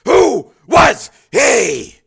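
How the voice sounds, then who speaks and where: A man voicing a hard, angry cyborg cop who barks urgent, forceful orders in an audiobook thriller. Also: very high voice, wildly swooping pitch, very slow, creaky, slightly rough, heavily acted. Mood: angry, demanding.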